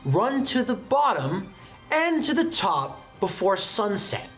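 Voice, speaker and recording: A man's voice: sits high, very animated, takes it slowly, a bit bright, faint music behind. A man narrating a tabletop role-playing game as game master.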